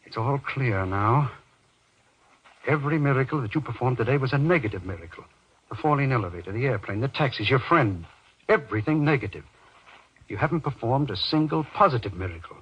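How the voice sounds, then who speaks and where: A man's voice: mid-range voice, expressive, brisk, breathy edge. A man playing an earnest, insistent doctor in golden age radio horror acting, commanding and theatrical. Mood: urgent, insistent. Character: earnest, urgent doctor pressing a frightened man. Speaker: actor in a radio horror drama. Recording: dull lo-fi old radio recording.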